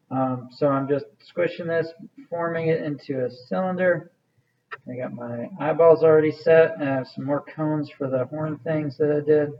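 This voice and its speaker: Man, art teacher, casual step-by-step talk, slightly higher voice, distant muffled recording. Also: a little hiss or hum. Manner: casual, step-by-step instruction with fillers. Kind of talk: clay modeling demonstration. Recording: muffled sound from a distant mic.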